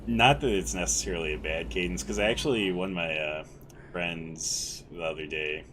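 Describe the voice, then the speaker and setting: Deep-voiced man, calm monotone streamer commentary, meandering self-corrections, humming air conditioner in background. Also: normal speed, slight background noise. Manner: mellow, fairly monotone, relaxed. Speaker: game streamer. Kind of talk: casual gameplay commentary.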